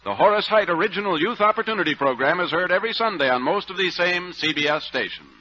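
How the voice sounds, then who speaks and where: A man's voice: sits high, lively intonation, fast. A man working as a radio announcer, delivering scripted narration in 1940s style. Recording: dull old radio broadcast recording. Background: loud music.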